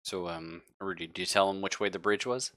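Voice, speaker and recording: A man running a tabletop roleplaying game as game master, narrating casually and haltingly with informal, sweary wording. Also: low voice, pushed and bright, dry recording, studio quality.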